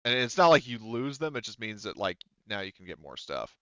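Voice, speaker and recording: A man with a low, clean voice, recorded dry and slightly muffled. He works as a game master, talking casually and hesitantly as he thinks through the rules aloud. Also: big pitch swings, brisk, fairly bright tone, clean tone, dry recording. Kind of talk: running a tabletop roleplaying game, casual.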